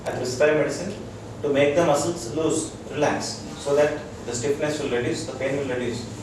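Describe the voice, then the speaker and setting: Man, Indian accent, doctor lecturing, halting explanatory delivery, distant mic, heavy echo. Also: a little high, fairly even intonation. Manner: halting, explanatory, checks listeners follow. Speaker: doctor giving a wellness lecture. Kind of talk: informal educational talk to a group. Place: echoey room with a small audience. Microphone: distant from the speaker. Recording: very echoey room, distant mic, loud noise.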